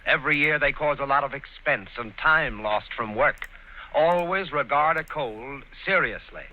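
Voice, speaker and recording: A man's voice: mid-range voice, normal speed, a little hiss or hum. A man reading a 1940s radio advertisement as announcer, earnest and emphatic, on a muffled old radio recording.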